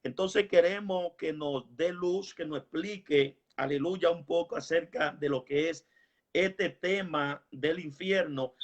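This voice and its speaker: A man with a moderately high voice hosts a religious program, devout and given to exclamations of praise. The recording is somewhat dull and lo-fi. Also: a little slow. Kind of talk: religious hosting, prayer and scripture reading. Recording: dull, lo-fi sound.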